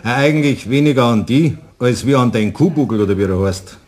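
A male actor as a hearty, easily convinced Bavarian tradesman at a tavern table. His voice is mid-pitched, and he talks in a folksy, jovial way in radio play style. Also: fast, darkish tone, lightly acted. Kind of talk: German radio play acting.

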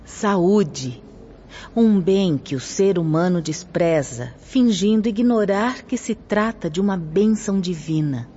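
A stern, compassionate female spirit teacher played by a woman, low and darkly mellow, with a Brazilian accent. Her radio-drama delivery is solemn and emphatic. The audio is dull, with faint music. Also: lively intonation, slow, slight background noise. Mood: stern, reproachful. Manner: solemn, emphatic, preaching diction.

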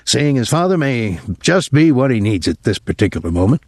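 A man voicing a nervous, apologetic older military academy colonel in an audiobook, speaking formally and haltingly with some embarrassment. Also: mid-range voice, very animated, darkish tone, no room sound, studio quality, voice pushed up. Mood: uneasy, grave.

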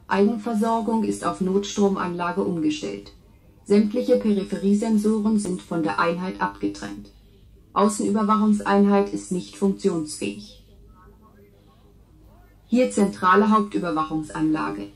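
A woman's voice: medium pitch, measured pace, lightly acted, rough recording. A woman playing an impersonal central surveillance computer in a science fiction radio play, giving formal, clipped status announcements.

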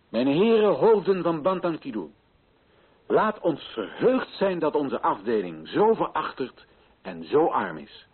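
A muffled, lo-fi old radio drama recording of an earnest colonial official. His voice is husky and swings widely in pitch as he declaims in formal, righteous, solemn phrases. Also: exaggerated voice. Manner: declamatory, formal, rhetorical questioning. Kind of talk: theatrical radio drama oratory.

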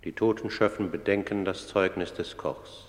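A man's voice: low voice, normal speed, natural voice. A man narrating a radio drama about a tribunal of the dead, grave and solemn. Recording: long hollow reverb.

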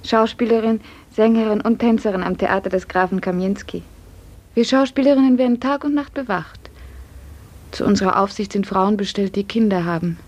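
A woman's voice: medium pitch, fairly quick, a little mellow, lightly acted. A woman playing an earnest, oppressed nineteen-year-old serf actress in a 1950s radio play, speaking with intensity. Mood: fearful, bitter.

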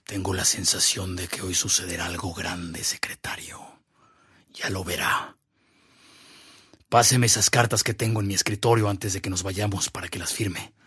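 An actor playing an imperious, threatening state governor who persecutes priests in a radio drama. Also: male voice, sits low, little pitch movement, moderate pace, fairly bright tone, husky, no echo, clean studio sound, full character voice. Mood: brooding, commanding.

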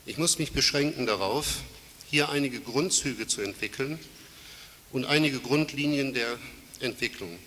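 A man's voice: mid-range voice, pushed and bright. Man with a cold, formal academic speaker in a reverberant lecture hall. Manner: formal, measured, with frequent fillers and self-corrections. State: has a cold. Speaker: conference speaker. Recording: hissy reverberant hall recording.

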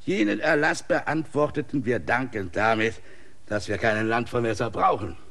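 Radio play: gouty old mayor, gravelly, husky, politely evasive. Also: male voice, medium pitch, moderate pace, a bit bright. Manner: courteous, rambling, officially evasive. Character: older bedridden village mayor, gout-ridden, long-winded. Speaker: village mayor.

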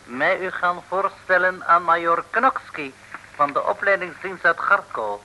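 A sly spymaster, curt and humble in broken sentences. The voice is highish, very sharp and measured, on a tinny old radio recording. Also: male voice, slight background noise, exaggerated voice. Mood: bossy. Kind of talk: old Dutch radio serial acting.

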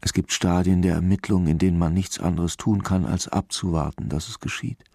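Brooding commissioner, radio crime drama: introspective, unhurried, very low register with heavy fry. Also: male voice, steady tone, darkish tone, no echo, studio quality, full character voice. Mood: brooding, musing. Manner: slow, musing, repeats his own phrases. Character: brooding, intuitive male police commissioner, musing inwardly.

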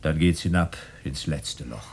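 An actor in a German radio play, playing a once-stuttering comedy figure who now calls himself a philosopher and the angel of death, speaking in theatrical declaiming rhymes. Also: male voice, rumbling low, measured pace, slightly rough, heavily acted. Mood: menacing. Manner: theatrical, declaims rhymes, switches languages in farewells. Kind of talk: 1990s radio play acting.

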